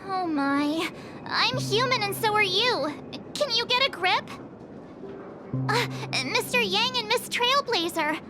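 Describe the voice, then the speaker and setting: A woman's voice: very high voice, background noise. Bubbly, teasing young woman. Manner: bubbly and teasing, quick chatter. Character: cheerful, chatty young woman companion. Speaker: game character. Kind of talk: dubbed game cutscene acting.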